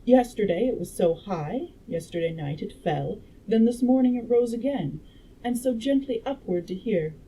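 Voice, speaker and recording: A woman reading aloud as a confident Victorian gentleman inventor who lectures in a didactic, assured way. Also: on the low side, natural voice. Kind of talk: audiobook-style character reading. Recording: noisy room background.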